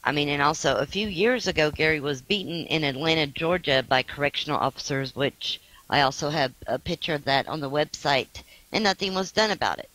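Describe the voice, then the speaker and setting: A hoarse middle-aged woman making an earnest, indignant spoken appeal for support on behalf of a family member. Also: low-pitched, a little fast, few pauses, a bit bright, no room sound, poor audio.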